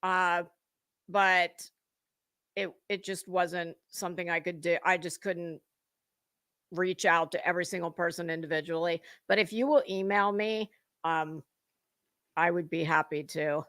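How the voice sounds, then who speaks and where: A woman's voice: on the low side, fairly even intonation, unhurried, long pauses, fairly bright tone, no room sound, studio quality. A woman hosting a livestream, chatting casually with viewers in a rambling, informal way. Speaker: livestream host.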